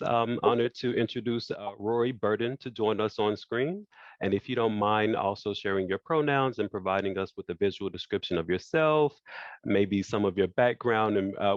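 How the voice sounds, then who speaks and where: A man born in France, with French as his first language, moderating an online panel over a video call and talking in a halting way that repeats phrases. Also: lowish voice, no echo. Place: indoor room by a wall. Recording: dull lo-fi video call audio.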